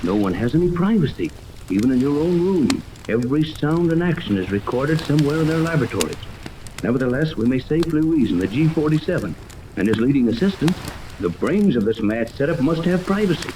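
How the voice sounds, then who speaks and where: An actor playing an earnest, authoritative ship captain in a 1930s radio adventure, explaining things with urgency. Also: male voice, a little high, very animated, fairly quick. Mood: earnest, resolute. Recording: noisy old 1930s radio, rain-like hiss.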